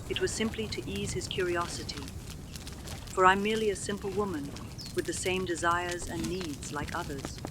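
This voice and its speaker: A woman's voice: fairly low pitch, noisy background, close to an everyday voice. A woman voicing a wistful lone mother narrating. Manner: slow, measured, drawn-out phrasing. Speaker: voice actress. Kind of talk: scripted storytelling narration. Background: music score.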